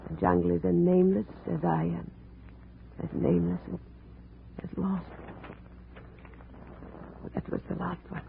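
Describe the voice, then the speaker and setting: Lonely, intense wife: creaky voice, formal and melodramatic, on thin old radio sound. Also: female voice, lowish voice, level tone. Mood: mournful. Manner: slow, formal, melodramatic, without contractions. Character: brooding, lonely abandoned wife, haunted and intense. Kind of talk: 1950s radio drama acting. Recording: tinny, noisy old radio recording.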